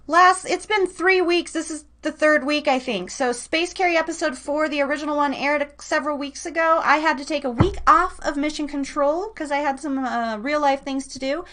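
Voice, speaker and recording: A woman with a fairly high voice hosts a live online show. She chats casually and slangily, telling things in an animated way. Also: normal speed, hardly any pauses.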